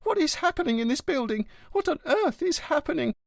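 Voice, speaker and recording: A man's voice: very high voice, expressive, fairly quick, creaky, no echo, clean studio sound, voice pushed up, full character voice. A man voicing a frantic, gossipy, melodramatic old woman concierge in an audiobook. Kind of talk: audiobook character voice.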